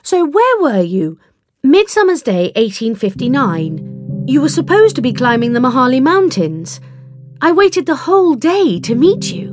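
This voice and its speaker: Earnest young heroine, played by a female narrator, pitched high, emphatic and proper, stubbornly indignant, storybook character. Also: big pitch swings, a little slow, dry recording, soft background music, exaggerated voice. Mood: doubtful, pressing. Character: earnest, indignant young woman adventurer, polite but stubborn.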